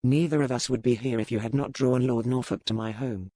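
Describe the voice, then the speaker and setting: An actor playing a dangerous, brooding pirate earl in a romance audiobook, calm and measured, with formal old-fashioned phrasing. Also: male voice, medium pitch, no echo, lightly acted. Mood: cool reproach. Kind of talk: dramatized romance audiobook dialogue.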